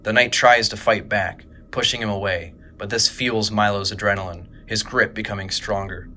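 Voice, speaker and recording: A man narrating a scripted horror audio drama in a measured, grave manner. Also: lowish voice, fairly even intonation, pushed and bright, a little hiss or hum.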